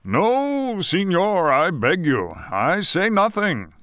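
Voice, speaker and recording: Man as a high, pleading timid pirate. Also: very animated, slow, fairly bright tone, no echo, studio quality, heavily acted. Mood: fearful. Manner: muttering, pleading. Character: timid pleading Spanish-speaking male pirate sailor.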